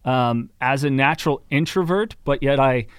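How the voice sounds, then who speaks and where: Brief: male talk-show host, smooth resonant voice, dry close sound, loose and rambling. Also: medium pitch, normal speed, dry recording. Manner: chatty, rambling, plugs sponsors. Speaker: podcast host. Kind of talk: casual interview chat. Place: soundproofed studio.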